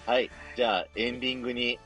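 A man's voice: medium pitch, steady tone, quick pace, bright, forceful tone, faint music behind. A man co-hosting a live audio streaming show, chatting with his partner and making announcements.

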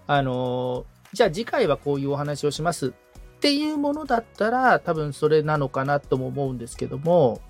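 Man thinking aloud in lively, varied pitch. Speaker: podcast assistant and trainee podcaster. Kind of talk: casual, polite consultation.

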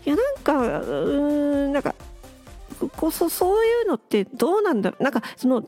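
A woman's voice: high-pitched, very animated, brisk, mellow, faint music behind. A woman hosting an unscripted solo audio show, murmuring casually as if to herself, close to the mic. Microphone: close to the microphone.

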